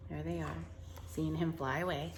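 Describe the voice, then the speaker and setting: A woman reading a picture book aloud to children in an expressive, wonder-filled way, with chatty asides and hushed moments. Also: sits low, moderate pace, slight background noise. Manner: animated, wonder-filled, addressing young listeners, dropping to hushed tones.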